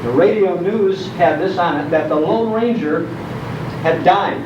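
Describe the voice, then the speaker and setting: A man's voice: high-pitched, unhurried. Older American man speaking in echoey hall. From Chicago, United States. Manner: storytelling, rhetorical questions, humorous. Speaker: public speaker on old-time radio. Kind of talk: nostalgic talk to a live audience. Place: indoor program hall before an audience. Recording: echoey hall, distant mic, noisy, dull.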